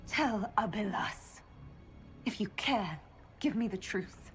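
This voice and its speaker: Wounded elven heroine, medium pitch, earnest questioning delivery, fantasy game dialogue, clear background music. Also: female voice, lively intonation, slow, fairly bright tone. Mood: hurt, pleading. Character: wounded, searching female elven hero, betrayed lover. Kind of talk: dramatic video game dialogue. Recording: music behind the voice.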